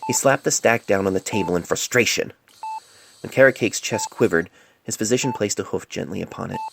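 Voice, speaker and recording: A man reading a dramatic story in a somber, deliberate manner, recorded on a cell phone mic. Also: fairly low pitch, creaky, noisy background. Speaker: author reading own story. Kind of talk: dramatic scripted narration. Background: music and sound effects.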